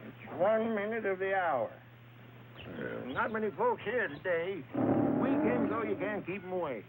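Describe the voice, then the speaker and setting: An actor playing a chatty, folksy zookeeper with a drawl and a rambling, jokey manner, in old radio drama style on a noisy recording. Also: male voice, high voice, big pitch swings, a little slow, full character voice. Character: chatty folksy male zookeeper amused by his own jokes. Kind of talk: mid-century radio drama acting.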